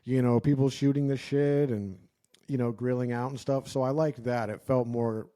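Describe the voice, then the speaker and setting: A young American man talks loosely on a podcast, circling back through his thoughts with plenty of fillers. His voice is dark and mellow, sitting at an ordinary middle pitch for a man. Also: fairly even intonation, normal speed. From United States. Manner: rambling, joking, many fillers and restarts. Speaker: podcast co-host. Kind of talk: casual chat.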